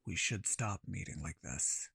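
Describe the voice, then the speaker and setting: An actor playing an outcast man called mad by his island, a wary survivor with a husky, halting delivery in an audio drama. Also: low voice, lively intonation, pushed and bright, no room sound, studio quality, heavily acted. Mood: guarded, bitter.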